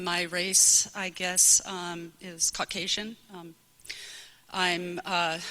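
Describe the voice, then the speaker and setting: A woman's voice: lowish voice, pushed and bright, rough recording. A middle-aged or older American woman giving an informal, tentative and self-conscious introduction of herself to a group. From small-town Connecticut, United States. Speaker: college science department staff member.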